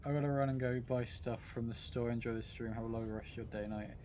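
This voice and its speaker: A man's voice: mid-range voice, steady tone, fast talker, background noise. A British man chatting casually with viewers while playing a game live, greeting them by name in a goofy way. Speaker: livestream gamer. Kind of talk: casual live-stream chat while gaming.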